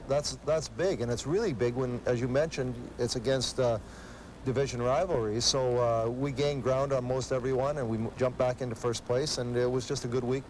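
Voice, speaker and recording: A man with an average-pitched voice answers interview questions as a hockey coach. He is conversational and plain-spoken, with some ambient noise behind him. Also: darkish tone, poor audio. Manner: plain-spoken, matter-of-fact. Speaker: ice hockey head coach.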